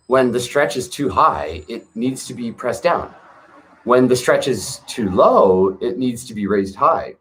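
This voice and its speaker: A man slowly reading an old philosophical text aloud in a measured, pausing way, stopping now and then to add casual remarks of his own. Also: mid-range voice. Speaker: reader of a classic text. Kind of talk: slow reflective reading with asides.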